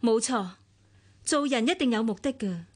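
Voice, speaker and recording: An actress with a Hong Kong Cantonese accent playing a poised, jealous longtime companion in a radio drama, earnest and pressing. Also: female voice, on the high side, animated, normal speed.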